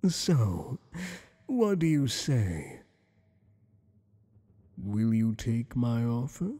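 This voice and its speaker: A man playing a flirtatious, possessive tentacled alien boss in an ASMR roleplay, speaking in a teasing, courtly, deliberate way with long echoing reverb. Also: mid-range voice, sing-song, warm tone, husky, heavily acted. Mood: seductive, coaxing.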